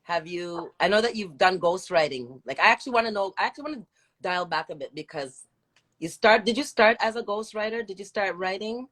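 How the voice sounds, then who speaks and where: A woman's voice: mid-range voice, animated, a little fast, fairly bright tone. A woman hosting a casual live interview, chatting informally and encouraging her guest with affirmations. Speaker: interview host. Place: video livestream call.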